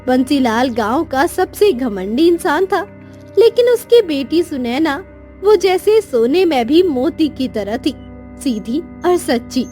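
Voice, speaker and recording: High-pitched woman narrating a village tale. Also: expressive, slow pace, mellow, soft background music. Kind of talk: scripted story narration.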